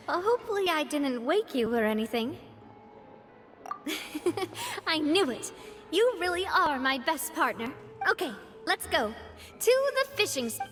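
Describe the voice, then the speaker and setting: A woman playing a spirited, warm-hearted young woman in a video game, speaking in an earnest, gentle, chatty way. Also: high-pitched, sing-song, takes it slowly, pauses often, soft background music, exaggerated voice. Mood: cheerful.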